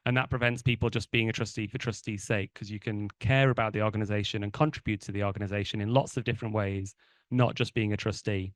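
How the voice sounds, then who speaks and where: A board member explaining the election process to a meeting, in a loose way with many fillers and restarts. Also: male voice, lowish voice, fairly even intonation, quick pace, dry recording.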